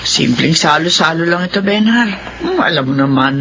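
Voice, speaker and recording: A woman's voice: low voice, expressive, moderate pace, fairly bright tone. An actress playing a caring, hardworking middle-aged mother of grown children in a radio drama, tender and earnest. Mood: warm. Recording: dull, lo-fi radio drama with noise.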